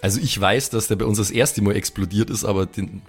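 A man's voice: fairly low pitch, fairly quick. A man improvising as a player in a role-playing podcast, rambling in laid-back youth slang. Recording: music behind. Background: instrumental background music.

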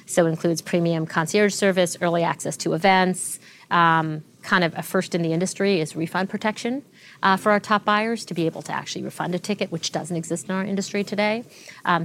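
A woman in her forties, a tech executive being interviewed, telling stories fluently and with lively restarts. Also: fairly low pitch, vocal fry, a little hiss or hum. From Canada. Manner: fluent, animated storytelling with frequent restarts. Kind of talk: interview conversation.

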